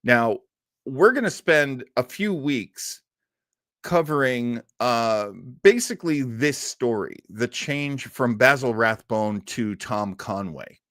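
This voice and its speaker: A man's voice: mid-range voice, expressive, slow pace, fairly bright tone, dry recording, clean studio sound. A man hosting an old-time radio livestream, chatting casually and enthusiastically in a rambling way. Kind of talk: casual livestream chat with audience.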